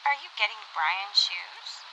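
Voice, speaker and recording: Woman playing a nosy, bright-voiced sports mom, rain behind. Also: medium pitch, very animated, unhurried, gravelly, a little hiss or hum, heavily acted, rough recording. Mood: prying, falsely polite. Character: nosy, chatty adult basketball mother. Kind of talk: audio drama acting.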